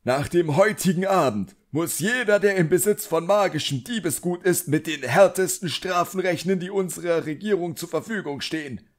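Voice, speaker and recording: Casting: pompous, irate middle-aged wizard bureaucrat for an audiobook, raised pitch, barking contempt, performed by a male narrator. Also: normal speed, close to an everyday voice. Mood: pompous, threatening.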